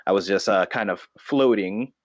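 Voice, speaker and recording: Casting: male actor as grave, self-justifying bat-costumed sentient notebook, improvised comedy, low voice, dull close recording. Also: moderate pace, lightly acted. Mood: grave, matter-of-fact. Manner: solemn, defensive, callously self-justifying. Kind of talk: improvised mock interview.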